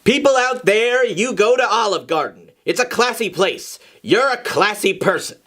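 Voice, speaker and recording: A man's voice: high voice, lively intonation, normal speed, bright, forceful tone, voice pushed up, heavily acted. Man doing a stubborn, sassy teenage debater boy. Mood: sassy, impassioned. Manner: indignant, insistent.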